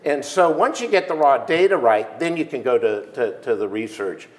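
A man's voice: mid-range voice, lively intonation, normal speed, reverberant, rough recording. A man in his sixties, a panel guest at a conference, talking emphatically with many restarts on a lapel mic. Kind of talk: conference keynote conversation. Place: stage in a large room, seated in armchair.

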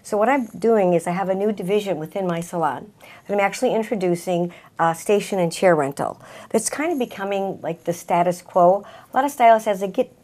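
A woman's voice: medium pitch, a little fast, darkish tone. A middle-aged woman, a salon owner, talking conversationally as a guest in a talk-show interview. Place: small TV talk-show set indoors.

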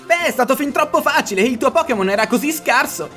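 A woman's voice: high-pitched, lively intonation, fast, music in the background. A woman voicing a brash, demanding ten-year-old boy in a cartoon parody dub. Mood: boastful. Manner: insistent, cocky.

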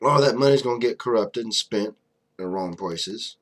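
A man's voice: fairly low pitch, brisk. A young man giving casual, blunt political commentary to a desk webcam in a small room, full of fillers. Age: young adult. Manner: blunt, conversational, many fillers like "you know". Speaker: vlogger. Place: small room indoors, flag on wall behind.

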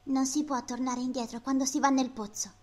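Woman as dead young girl's ghost: high voice, wounded and plaintive, amateur drama dub over clear music. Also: steady tone, brisk, close to an everyday voice. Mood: hurt, bitter. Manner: emotional, accusing, plaintive. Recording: music bed behind the voice.

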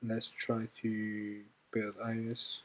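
A man presenting an informal software tutorial in a halting, hesitant way, often repeating phrases as he goes. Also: lowish voice, monotone, slight background noise, rough recording. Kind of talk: informal screen-recorded coding tutorial.

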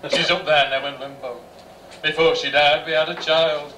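A man's voice: a little high, level tone, normal speed. A man playing a brash northern English pantomime father who tells rhymed jokes about his dim daughter in a broad delivery. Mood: brash, jokey. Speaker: amateur pantomime performer. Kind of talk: rhymed pantomime comedy monologue. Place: small indoor bar stage, far camera. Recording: distant, echoey bar-stage camcorder, loud noise.

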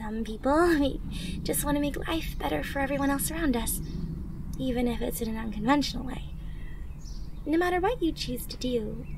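Woman acting teen girl superhero, high-pitched, gentle, earnest, encouraging, ASMR roleplay, faint outdoor ambience with birds. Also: moderate pace, background noise. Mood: gentle, reassuring. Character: encouraging, caring teenage girl superhero in training. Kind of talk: ASMR roleplay, acted monologue to listener.

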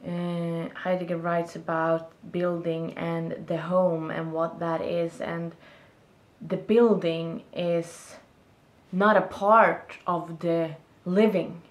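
Slow, low-voiced Swedish woman musing. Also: roomy sound. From Sweden. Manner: thoughtful, searching for words, gestures while talking. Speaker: vlogger. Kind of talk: reflective casual monologue to camera. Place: small room indoors, seated on a bed.

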